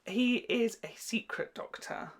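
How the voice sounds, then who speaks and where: A woman hosting a TV reaction video, chatting casually and explaining things in an animated, giggly way. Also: fairly high pitch, takes it slowly, slightly rough.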